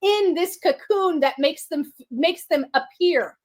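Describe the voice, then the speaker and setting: A woman's voice: extremely high pitch, slow. A woman chatting casually on a livestream in a brash, mocking way.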